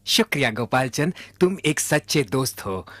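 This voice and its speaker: A man's voice: medium pitch, animated, normal speed, close to an everyday voice. A man playing a deferential, ingratiating trader in a Hindi cartoon. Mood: chummy, ingratiating. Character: smooth-talking, deferential male trader protesting his innocence.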